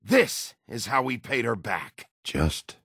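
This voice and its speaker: An actor playing a gruff, hot-tempered werewolf detective in a video game, a middle-aged man with a gravelly, husky, blunt, intimidating delivery. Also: mid-range voice, sing-song, slow, a bit bright, no room sound, clean studio sound, exaggerated voice. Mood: pleading, grieving. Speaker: interrogating lawman.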